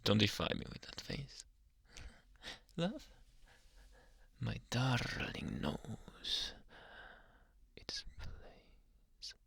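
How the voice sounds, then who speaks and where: Male actor as young yandere doctor, gravelly fry, unhurried, breathy half-whispers, sweetly menacing, possessive, close-miked ASMR roleplay. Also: medium pitch, big pitch swings, a bit bright, no echo, heavily acted. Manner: slow, breathy murmuring that slips in and out of whispers. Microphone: close microphone.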